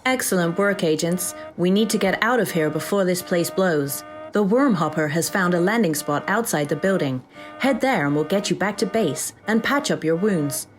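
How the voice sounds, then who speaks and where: Woman acting female mission guide, lowish pitch, businesslike, composed, audio drama, clear background music. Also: animated, normal speed, lightly acted. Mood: pleased, brisk. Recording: music behind the voice.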